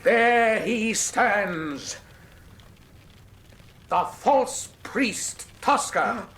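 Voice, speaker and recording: A bossy formal detective in a radio drama, high tense voice, bit of background noise. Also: male voice, expressive, drawn-out words, a bit bright, heavily acted. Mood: accusing, threatening. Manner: formal, precise, imperious. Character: commanding male detective, drugged, tense and accusing. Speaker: actor in a mystery radio drama. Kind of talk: full-cast radio drama acting.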